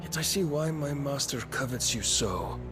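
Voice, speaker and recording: A man's voice: mid-range voice, very animated, normal speed, close to an everyday voice. An actor playing an imperious, devout warrior king in a fantasy game, solemn and condescending. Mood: solemn fascination. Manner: solemn, commanding, archly condescending. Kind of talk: dramatic fantasy game acting. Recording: loud orchestral music behind.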